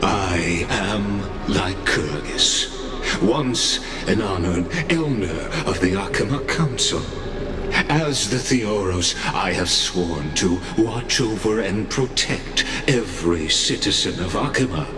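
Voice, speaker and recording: A grave, scheming old elder speaks with a very deep voice and a crawling, measured pace. His words ring out in a roomy echo. Music plays clearly behind him. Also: animated. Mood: solemn. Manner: slow, grave, measured speech. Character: scheming male elder and watcher of a realm.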